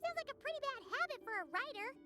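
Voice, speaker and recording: Squeaky childlike fairy sidekick, very high, lively swoops, bright, game music behind. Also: female voice, quick pace, full character voice. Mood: wry. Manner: impatient, exclaiming. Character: tiny excitable floating fairy companion.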